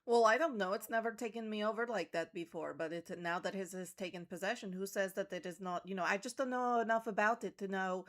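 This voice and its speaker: A woman's voice: mid-range voice, fairly quick, few pauses. A woman playing a tabletop roleplaying game, improvising her character's dialogue in a dry, matter-of-fact, casual way.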